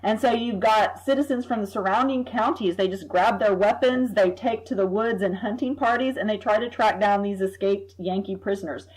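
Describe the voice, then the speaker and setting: A woman, a history professor, explaining at length as a podcast guest, measured and thoughtful, sometimes repeating words as she thinks. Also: medium pitch, husky, rough recording. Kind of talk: expert explaining in an interview.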